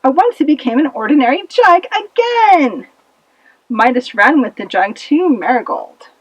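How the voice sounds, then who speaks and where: High, lively woman storyteller. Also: a little slow, dry recording, poor audio. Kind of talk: scripted children's story narration for a puppet show.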